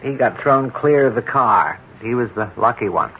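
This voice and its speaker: An actor playing a brisk, wisecracking male emergency doctor, offhand and slangy. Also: medium pitch, a bit bright, a little hiss or hum, natural voice. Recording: muffled vintage radio broadcast.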